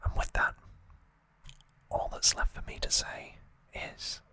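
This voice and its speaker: Slow, hushed ASMR whisperer. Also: no echo. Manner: hushed, unvoiced whispering throughout. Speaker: host of an anime trivia channel.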